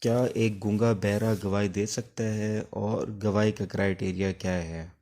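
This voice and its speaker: Slow, low-voiced Pakistani man teaching. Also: fairly even intonation, mellow. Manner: halting, explanatory, posing questions and answering them. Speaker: law teacher. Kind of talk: informal exam-prep lecture mixing in English legal terms.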